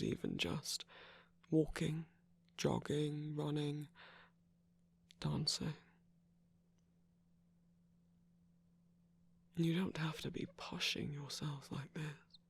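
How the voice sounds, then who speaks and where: A man playing an affectionate, concerned villain in an intimate ASMR roleplay, speaking softly and deliberately with a husky, breathy, creaky voice. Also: fairly high pitch, heavily acted. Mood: firm, comforting. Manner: soft, deliberate, tender coaxing with whispered phrase endings. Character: affectionate, concerned male villain, slim and sickly.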